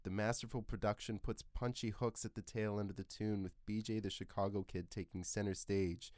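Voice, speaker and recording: Man, podcast narrator, low voice, dry recording, scripted, literary, earnest. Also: little pitch movement, brisk, darkish tone, dry recording. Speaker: podcast host.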